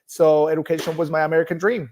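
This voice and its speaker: A man's voice: fairly high pitch, flat delivery, fast, a little mellow, slight background noise. A middle-aged man with a Colombian accent, a native Spanish speaker, telling his own story informally as an interview guest. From Bogota, Colombia. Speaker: guest, nonprofit executive.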